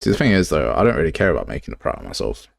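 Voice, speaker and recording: A man's voice: very deep voice, sing-song, very fast, creaky, no room sound, clean studio sound. A man hosting a game development livestream, talking casually and thinking aloud as he works in a rambling, informal, self-deprecating way. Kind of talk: casual live tutorial, thinking aloud while working.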